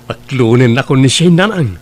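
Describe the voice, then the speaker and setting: A man's voice: mid-range voice, expressive, normal speed, lightly acted. A man with an Ilocano accent playing a dutiful grown son and farmer in love in a family audio drama. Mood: pleased, mild. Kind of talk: Ilocano radio-style drama acting.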